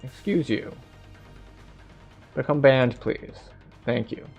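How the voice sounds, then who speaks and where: A man's voice: medium pitch, takes it slowly, creaky, a little mellow, faint music behind. Offhand male game streamer. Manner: offhand, joking asides. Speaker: livestreamer playing a game. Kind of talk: casual commentary over gameplay.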